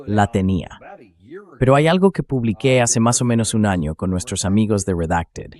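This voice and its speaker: A man's voice: on the low side, a little mellow. A man's synthetic AI voice reading a translated political commentary as a voice-over.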